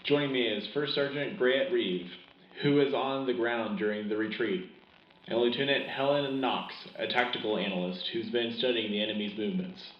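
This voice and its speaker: A man's voice: mid-range voice, normal speed, reverberant, highs cut off, lightly acted. A man playing an earnest, patriotic host of a sci-fi wartime radio news show, with a formal announcer delivery. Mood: serious, inquiring. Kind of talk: scripted wartime radio broadcast.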